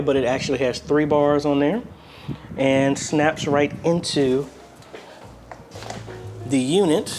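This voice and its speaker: A man's voice: fairly high pitch, darkish tone. An American man hosting a casual unboxing review, talking in a conversational, unscripted way as he thinks aloud. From United States. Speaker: video host and church media ministry member. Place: apartment room, indoors.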